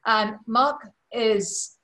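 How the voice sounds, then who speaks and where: A woman's voice: fairly high pitch, extremely slow, bright, forceful tone. A middle-aged woman from Malawi, introducing an online event informally in an effusive, emphatic way, on a video call from her living room. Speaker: webinar host and charity board chair. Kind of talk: informal spoken introduction and charity appeal. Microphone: video call computer microphone.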